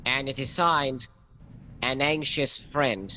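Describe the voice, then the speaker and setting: An actor playing a deferential, methodical police sergeant in a radio mystery, careful and deliberate. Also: male voice, medium pitch, sharp tone, a little hiss or hum, rough recording. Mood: dutiful. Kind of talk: radio mystery drama acting.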